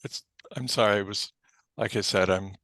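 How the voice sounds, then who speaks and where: A man presenting to a committee in an online meeting, talking haltingly with many ums and apologies, recorded through a computer mic on a video call. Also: fairly low pitch, steady tone, a bit bright, dry recording. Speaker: cataloging librarian presenting to a committee.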